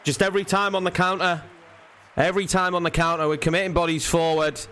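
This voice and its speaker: A young man giving lively play-by-play commentary over his football video game, rising sharply at chances and goals. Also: on the high side, quick pace, smooth. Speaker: gaming YouTuber.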